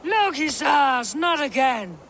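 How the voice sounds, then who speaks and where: Norse camp boss woman, slow delivery, hard bright tone, gruff, curt, scornful, video game. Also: high-pitched, very animated, slight background noise, exaggerated voice. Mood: curt, commanding. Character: gruff, bossy Norse female camp overseer.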